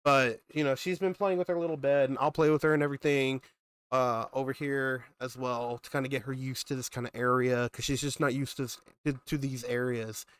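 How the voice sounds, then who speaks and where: A man's voice: mid-range voice, fairly even intonation, fairly quick, smooth. A young man with a cold, sounding congested, chatting casually with viewers as a gaming streamer and rambling on with asides. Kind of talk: casual live-stream chat.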